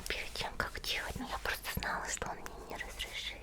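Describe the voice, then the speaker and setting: A woman voicing a gentle young monster schoolgirl in a soft, breathy, slow ASMR roleplay whisper, close to the microphone. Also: close to an everyday voice. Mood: reassuring. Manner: slow, soothing, hesitant musing with short pauses.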